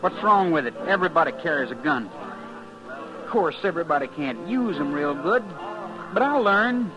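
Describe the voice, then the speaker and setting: A cocky teenage cowboy, acted by a man, has a highish voice that swings about with a rural Western American accent. He is stubborn and brash. Music plays clearly behind this old radio western. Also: lively intonation, normal speed, dull audio, close to an everyday voice. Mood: defiant, cocky. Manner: defiant, brash, laconic. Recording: vintage radio recording with music.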